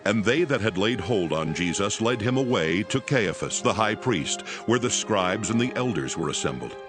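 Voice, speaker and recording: A man with a fairly low voice narrates a dramatized scripture. His delivery is solemn and scripted, with music playing clearly behind him. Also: lively intonation, moderate pace. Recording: background music under the voice.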